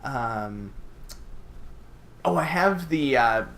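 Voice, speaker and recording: A man's voice: mid-range voice, animated, very slow, a bit bright. A man co-hosting a podcast in casual conversation about video games. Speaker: podcast co-host. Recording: light background noise.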